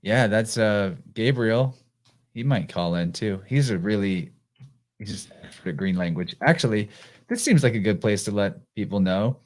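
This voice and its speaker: A man with a fairly low voice hosts a live podcast in easy, casual conversation. He talks in a relaxed way and reads listener comments aloud between thoughts. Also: normal speed. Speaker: podcast host.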